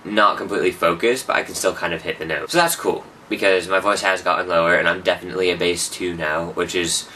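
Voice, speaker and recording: A man's voice: sits low, steady tone, quick pace, hardly any pauses, bright, forceful tone, recorded from a distance, slight background noise. A young man rambling through a casual personal update, often correcting himself. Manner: rambling, self-correcting, chatty. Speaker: vlogger.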